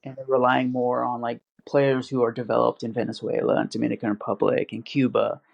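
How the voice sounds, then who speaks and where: Gravelly man rambling on a podcast. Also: mid-range voice, level tone, a little fast. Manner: rambling, many "like" fillers and restarts. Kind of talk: casual podcast chat. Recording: dull lo-fi audio.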